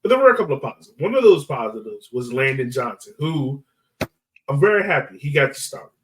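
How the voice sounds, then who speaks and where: Man, medium-pitched voice, sports podcast host, informal and conversational, thinks aloud. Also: very animated, brisk, background noise. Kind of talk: casual sports discussion.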